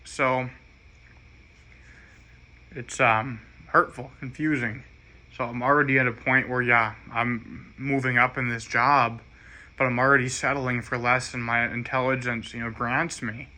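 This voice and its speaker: An American man giving a rambling, halting personal monologue in an earnest, aggrieved manner. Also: medium pitch, level tone, sharp tone.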